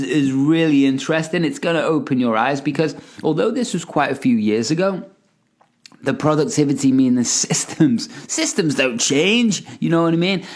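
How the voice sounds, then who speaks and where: A man hosting a podcast, talking with enthusiastic, hype-driven energy and calling his guest "mate". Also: medium pitch. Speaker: podcast host. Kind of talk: energetic podcast intro and interview.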